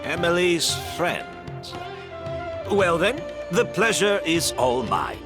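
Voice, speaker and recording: A man's voice: on the high side, very animated, takes it slowly, full character voice. An actor playing a kindly, humble older male perfumer and mentor in a video game, modest and thoughtful. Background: game background music.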